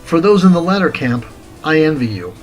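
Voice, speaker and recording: A man of forty reading a scripted retro game review as host, in a deliberate, articulate, wordy way. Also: on the high side, sing-song, rough recording. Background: music.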